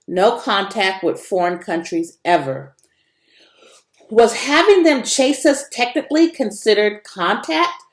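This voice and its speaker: A woman narrating a children's story expressively, in a roomy, echoing recording. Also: mid-range voice. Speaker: read-aloud narrator.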